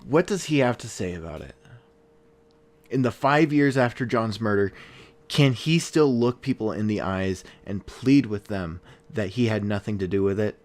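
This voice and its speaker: A man playing an earnest, weary podcast investigator, narrating a true-crime case in a reflective, deliberate way with dramatic pauses. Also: lowish voice, lively intonation, darkish tone, natural voice. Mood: probing.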